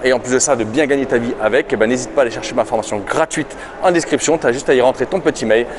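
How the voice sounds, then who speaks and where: A male travel vlogger hosting an interview, talking energetically and chatting straight to his viewers, outdoors in a city. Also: on the high side. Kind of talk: upbeat YouTube intro and casual interview. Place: outdoors in a city, partly on the metro. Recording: noisy city and transit background.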